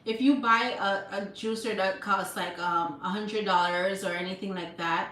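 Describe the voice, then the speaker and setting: A woman's voice: medium pitch, fairly even intonation, moderate pace, sharp tone, far from the mic. A young woman with a Jamaican accent chatting on a live stream, laughing often and thanking her viewers. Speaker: livestream host. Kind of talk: casual live-stream chat. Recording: roomy sound from off-mic, background noise.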